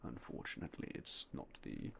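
A young man whispering softly and politely as a game shop clerk in an ASMR roleplay, close to a binaural mic. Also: fairly quick, background noise. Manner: quiet, whispery, polite shopkeeper patter.

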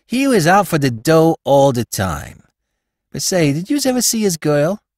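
A man voicing an eager, dim small-time crook in an audiobook, with a New York accent and slangy, ungrammatical, excitable talk. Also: a little high, measured pace, no echo, studio quality, voice pushed up. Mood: boastful.

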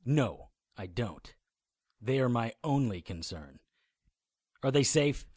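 A man playing a wry, defiant supernatural hero in a radio drama, with a husky voice and dry, noir-like storytelling. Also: mid-range voice, lively intonation, takes it slowly, darkish tone, no echo. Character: wry, defiant male demon hunter and hero. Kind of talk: radio drama acting and narration.

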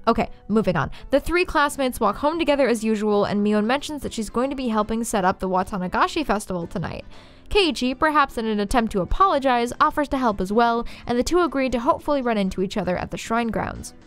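A woman's voice: a little high, lively intonation, quick pace. A young woman narrating a scripted story recap in a sardonic, informal, swearing style. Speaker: video essayist, narrator. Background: faint music.

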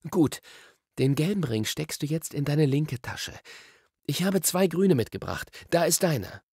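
An eager, bossy schoolboy, acted out by a male storybook reader, explains his ideas with impatient excitement. The voice is high and very lively, with a slightly gravelly edge. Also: unhurried, no echo, studio quality, full character voice. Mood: eager, explaining. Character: eager, curious, bossy young schoolboy explorer.

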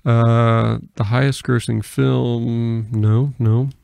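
Man, American, podcast host, casual talk, gravelly fry, dry close-mic sound. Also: mid-range voice, flat delivery, extremely slow, darkish tone, no echo. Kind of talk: casual conversational interview. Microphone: close podcast microphone.

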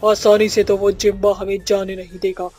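Guy doing a captive witch, high husky voice, earnest n plaintive, cartoon style. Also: completely flat delivery, moderate pace, a little mellow, faint music behind. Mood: worried. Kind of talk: Hindi cartoon acting.

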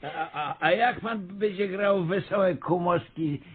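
A man's voice: sits high, slow, crowd noise. An actor playing a sceptical, nagging townsman who hesitantly and politely questions everything in a radio comedy. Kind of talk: radio comedy drama acting. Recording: muffled phone-like radio recording.

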